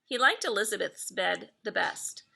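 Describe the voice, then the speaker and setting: A middle-aged woman reading a children's story aloud in an expressive, deliberate storytelling manner. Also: mid-range voice, vocal fry, breathy edge. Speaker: elementary school staff member reading aloud to pupils.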